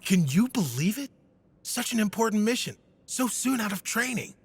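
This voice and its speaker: Husky-voiced heroic knight. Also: male voice, high voice, big pitch swings, moderate pace, dry recording, exaggerated voice. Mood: excited. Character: older veteran knight telling stories, also his eager younger self. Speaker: lead character actor. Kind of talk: audio drama acting.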